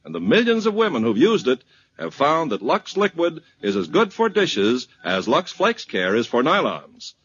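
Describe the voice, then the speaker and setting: A man's voice: a little high, sing-song, moderate pace, a bit bright, husky, no echo. A man announcing a 1950s radio program and reading a sponsor's ad in an emphatic, sing-song salesman delivery. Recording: muffled 1950s radio broadcast with music.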